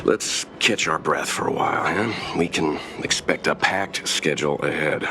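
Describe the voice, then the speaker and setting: A man voicing a calm, mature mentor and leader with a measured, reassuring manner in a video game. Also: very deep voice, a little hiss or hum, heavily acted.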